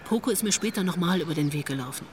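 A woman's voice: fairly low pitch, lively intonation, very fast, noisy background, lightly acted. A woman acting as a worldly female investigator in a radio drama. She speaks in a wry, knowing storyteller's manner, naturally paced, over faint background ambience.

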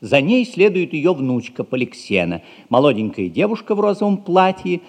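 A man narrating a stage play in a measured, scripted, wryly descriptive theatrical style. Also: fairly high pitch, sing-song, a little mellow. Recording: reverberant hall with music underneath. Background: music.

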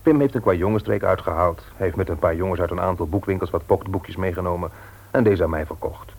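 An actor playing a good-humoured young husband and bookseller in a Dutch radio play, with a casual manner. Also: male voice, deep voice, fast talker, vocal fry, a little mellow, a little hiss or hum. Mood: soothing. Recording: radio drama recording.

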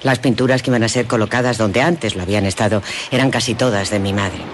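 An actress playing Laura, a wistful, easily amazed young gentlewoman in a Spanish radio drama, with a Castilian accent, moving between hushed narration and excited exclamation. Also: extremely low pitch, quick pace, background noise.

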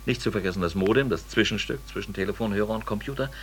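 A man's voice: fairly low pitch, fast, close to an everyday voice. A man playing a sardonic, pointed sceptic in a scripted radio play, on a noisy old cassette with hum. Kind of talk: radio feature dialogue, scripted.

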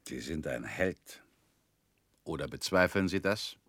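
An actor in a radio play playing a calm former prisoner who questions in a cool, probing, slightly ironic way. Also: male voice, a bass voice, unhurried, no room sound, heavily acted.

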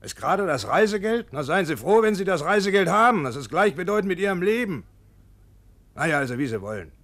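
Sly grudging estate dealer, highish voice swooping around, fussy, old radio play. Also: male voice, very animated, fairly quick, a bit bright, exaggerated voice. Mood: slyly reluctant. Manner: fussy, repetitive, dismissive. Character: wily, grudging, grasping property dealer on the telephone. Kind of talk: 1950s German radio play acting.

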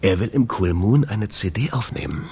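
An actor playing a troubled, exasperated jazz club owner in a radio play, talking in a confiding way. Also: male voice, on the low side, expressive, normal speed, gravelly, a little mellow, breathy edge, exaggerated voice. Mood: worried, confiding.

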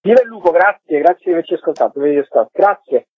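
A man being interviewed on the radio, explaining things conversationally and discursively, with a husky voice. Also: fairly high pitch, expressive, rapid-fire. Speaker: interviewed film director. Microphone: telephone. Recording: tinny, narrow phone line with echo.

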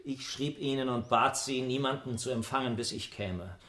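An actor playing an urbane, cynical, unruffled gentleman in a literary radio drama, with a polished, ironic manner. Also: medium pitch, moderate pace, lightly acted. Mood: grave, measured.

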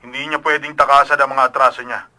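A man's voice: mid-range voice, flat delivery, quick pace, bright, forceful tone, faint music behind. An actor playing a stern, suspicious, confrontational father in a radio drama. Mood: angry, accusing. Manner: confrontational, demanding.

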